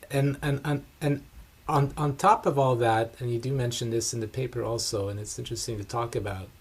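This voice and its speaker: A man hosting a podcast, asking long questions haltingly with many "uh"s and repeated words. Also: mid-range voice, creaky. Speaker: podcast host. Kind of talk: interview questions.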